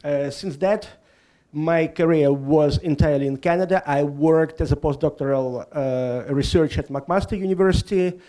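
Man, mellow dark voice, slightly high, formal, measured. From Russia. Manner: formal, measured self-introduction. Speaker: physics lecturer introducing himself.

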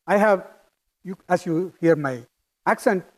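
A man with a strong Indian accent lectures on physics in a high voice. He is middle-aged and talks in a halting, thoughtful way, with fillers and restarts as he works through his ideas. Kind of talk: academic lecture.

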